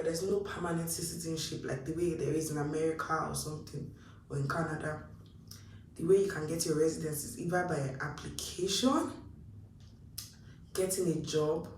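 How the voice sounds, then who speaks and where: A young Nigerian woman giving chatty, animated advice to camera, acting out her own past thoughts. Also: deep voice, slight background noise. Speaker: vlogger. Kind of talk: casual advice talk to camera. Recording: roomy echo, mic a bit distant.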